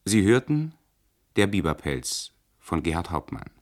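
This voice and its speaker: A man's voice: low-pitched, big pitch swings, measured pace. A man reading cast credits as a formal radio announcer.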